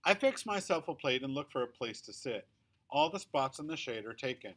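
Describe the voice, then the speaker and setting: A man reading a children's story aloud in the first person, with expressive, emphatic storytelling. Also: medium pitch, a little fast. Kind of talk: scripted children's book read-aloud, first-person narration.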